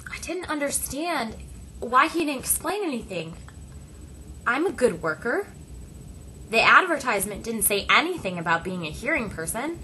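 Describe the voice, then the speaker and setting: A woman voicing a first-person story as an interpreter, speaking deliberately in short phrases with dramatic pauses. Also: a little high, very animated, pushed and bright, slight background noise, poor audio.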